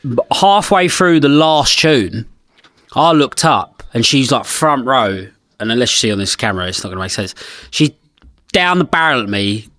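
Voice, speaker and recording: Man, thirties, musician, lively anecdotes, mid pitch, dry close sound. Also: normal speed, no echo. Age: 30s. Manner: animated storyteller, swearing. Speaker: musician guest. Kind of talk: casual interview chat. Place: pub.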